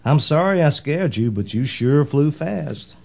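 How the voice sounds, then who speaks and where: A man voicing a gentle, apologetic motherly female cow who explains herself in children's storybook rhyme. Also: on the high side, lively intonation, unhurried, vocal fry, full character voice. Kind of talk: rhyming children's storybook character.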